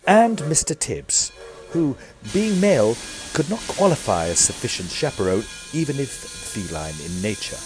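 An actor with a British accent playing a peevish, smitten Edwardian gentleman ghost hunter, narrating formally in an audio drama. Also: mid-range voice, very animated, normal speed, over music, close to an everyday voice. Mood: stiffly formal. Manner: formal, stiffly proper, fussy.

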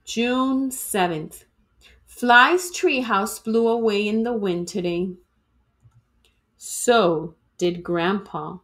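A woman reading a children's storybook aloud in an expressive, dramatic way, like a teacher to students. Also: medium pitch, extremely slow.

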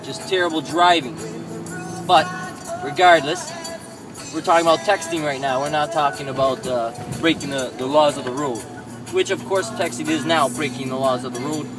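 Man, thirties, high voice, lecturing rant from the driver's seat, dash cam, music under it. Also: expressive, normal speed. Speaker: vlogger. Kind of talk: ranting vlog while driving. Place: inside a moving car. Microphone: camera mounted on the dashboard.

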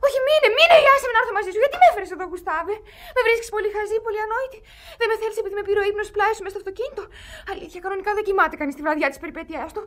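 A woman's voice: very high-pitched, animated, few pauses, fairly bright tone. An actress playing a naive, headstrong young girl in love in a radio play, impassioned and girlish. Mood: tearful pleading. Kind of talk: Greek radio theatre acting.

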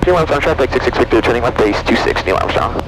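A man's voice: medium pitch, animated, quick pace. A young man piloting a small plane, making radio calls and chatting casually through a headset mic. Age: young adult. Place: cockpit of a small propeller plane in flight. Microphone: aviation headset boom mic. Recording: loud engine noise, headset intercom.

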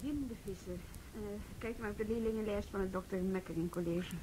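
A woman's voice: fairly quick, lightly acted. A woman playing a firm, matter-of-fact youth police officer who asks probing questions in a Dutch radio drama. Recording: noisy old radio drama recording, rumble.

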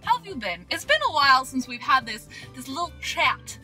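A woman in her twenties chatting casually and playfully to camera as a vlogger, animated and exclamatory, recorded inside a car. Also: sits high, moderate pace, loud music behind the voice. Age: 20s. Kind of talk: casual solo vlog chat.

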